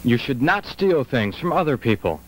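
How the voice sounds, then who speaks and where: A man playing an earnest, humble young Buddhist monk in a dubbed animated film, solemn and formal. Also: mid-range voice, normal speed, poor audio. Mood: gently reproving. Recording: loud music behind the voice.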